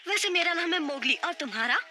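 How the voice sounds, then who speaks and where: A woman's voice: extremely high pitch, lively intonation, quick pace, pushed and bright, a little hiss or hum, exaggerated voice. A woman voicing a young jungle boy, brave, playful and reassuring in a cartoon. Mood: worried. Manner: eager, reassuring, bold.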